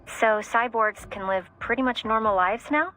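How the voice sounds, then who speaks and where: An actress playing a curious, playful colleague in a video game, casual and teasing. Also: female voice, on the high side, moderate pace, sharp tone, low-quality recording. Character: curious, playful female colleague of a cyborg soldier.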